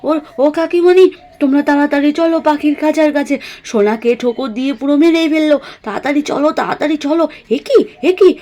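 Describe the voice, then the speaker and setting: A woman voicing an excitable, bossy older sister girl doll in a cartoon story, exclaiming and repeating herself. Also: sits high, natural voice. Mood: urgent. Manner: exclaiming, hurried repetition.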